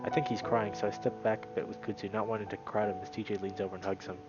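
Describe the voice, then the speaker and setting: A man streaming a visual novel, moving between read-aloud narration and casual, animated commentary. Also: fairly low pitch, fast, highs cut off, loud music behind the voice.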